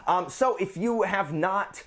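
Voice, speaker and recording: A man's voice: sits high, slow, fairly bright tone. A man hosting a book channel, talking casually and enthusiastically to his viewers with jokey asides.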